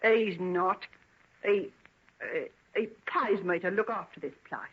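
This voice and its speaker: A husky-voiced housekeeper in a vintage radio play. She is bitter and guarded, answering in a blunt, scornful, evasive way. The recording is dull and lo-fi. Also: fairly low pitch, takes it slowly. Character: bitter, guarded working-class woman housekeeper. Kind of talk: 1940s radio acting.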